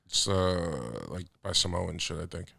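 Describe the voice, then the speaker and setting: A man's voice: low voice, lively intonation, moderate pace, gravelly, bright, forceful tone, dry recording, clean studio sound. A man from New York, co-hosting a podcast in loose, laid-back chat, laughing often and swearing casually. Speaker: podcast co-host.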